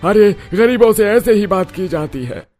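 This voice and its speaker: A man voicing an arrogant, contemptuous and angry bride's father in a cartoon drama, loud and scornful. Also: high voice, expressive, unhurried, soft background music.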